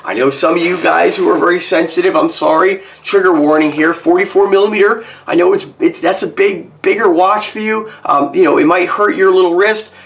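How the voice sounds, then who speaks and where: Slightly hoarse North American man reviewing casually. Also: high-pitched, a little fast, hardly any pauses, a bit bright. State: getting over a cold. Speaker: watch reviewer. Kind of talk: casual product review to camera. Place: small home office, indoors.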